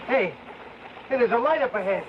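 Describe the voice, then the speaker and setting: Bossy fugitive, noisy old recording. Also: male voice, extremely high pitch, animated, moderate pace, highs cut off. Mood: urgent, scheming. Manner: curt, barking orders. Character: ruthless, bossy male fugitive on the run. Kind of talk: old-time radio drama acting.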